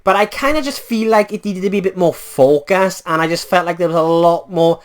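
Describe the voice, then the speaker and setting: A man's voice: high voice, a little fast. A man from Wales with a Welsh accent, giving a casual spoken film review in a chatty, rambling way with many fillers and self-corrections.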